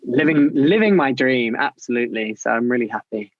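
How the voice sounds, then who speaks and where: A man's voice: medium pitch, expressive, a little fast, no room sound, phone-quality sound. A man, a writer, guesting on a casual video-call interview and talking with effusive enthusiasm.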